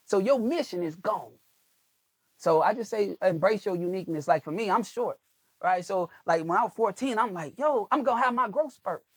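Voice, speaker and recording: A man's voice: high voice, lively intonation, moderate pace, breathy edge, dry recording. A man speaking as a guest on a podcast, coaching people on making video content in an emphatic, preachy way with lots of repetition. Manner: emphatic, preachy, repeats phrases. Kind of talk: motivational podcast interview.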